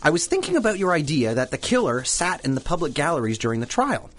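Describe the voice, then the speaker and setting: A man's voice: a little high, fast talker. Flustered, swooping-voiced newspaperman. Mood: earnest, excited. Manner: hesitant, stammering, animated. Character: flustered, mild, earnest male newspaper reporter. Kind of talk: 1930s radio serial acting.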